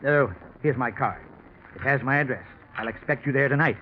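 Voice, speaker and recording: A man's voice: medium pitch, brisk, natural voice. A man playing a well-off, scheming businessman in a 1940s radio mystery, genteel and coaxing with a nervous edge. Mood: coaxing, evasive. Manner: genteel, coaxing, repeats words. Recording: scratchy, narrow-band 1940s radio recording.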